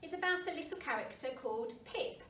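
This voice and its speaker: A woman reading a children's storybook aloud with expressive narration, as a primary school story reader. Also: high-pitched, fairly quick. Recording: roomy, distant mic, faint noise.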